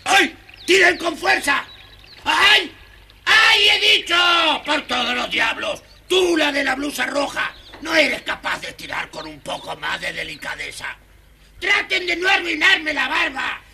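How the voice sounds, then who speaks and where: A man's voice: extremely high pitch, big pitch swings, measured pace, creaky, exaggerated voice. A man voicing a rude, ungrateful little dwarf who complains and orders others about in a children's audio storybook. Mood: irritated. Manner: shouting, indignant, bossy complaining. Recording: faintly noisy old storybook record.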